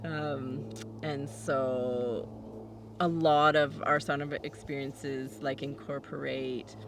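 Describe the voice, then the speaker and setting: A woman's voice: very deep voice, level tone, slow pace. A woman who runs a forest farm, chatting casually in an interview with lots of fillers and sometimes dropping to a whisper. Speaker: forest farmer. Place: outdoors on a farm. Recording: distant mic, outdoor noise with passing vehicles.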